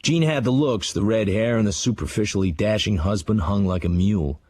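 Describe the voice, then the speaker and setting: An actor playing a haunted, hard-bitten American writer, narrating a memoir in blunt, clipped sentences for a radio drama. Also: male voice, fairly low pitch, fairly quick, a little mellow, lightly acted. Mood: hard-bitten, bleak.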